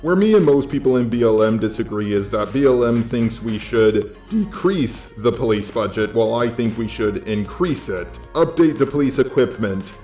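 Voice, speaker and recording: A man's voice: mid-range voice, darkish tone, roomy sound. An American man giving a blunt, casual, sweary opinion monologue as a video commentator.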